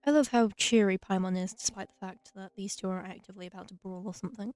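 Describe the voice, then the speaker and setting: A woman's voice: medium pitch, steady tone, quick pace, darkish tone, no room sound, faint music behind. A woman giving casual, wry commentary as she plays through a video game. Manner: wry, dry remarks. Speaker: gaming streamer. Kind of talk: casual let's play commentary.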